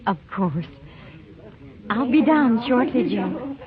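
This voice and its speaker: An actress playing a polite, submissive young homesteader's bride in a 1950s radio drama, genteel and compliant. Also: female voice, a little high, lively intonation, slow pace, over a noisy crowd. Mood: polite, resigned. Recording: muffled, tinny old radio recording.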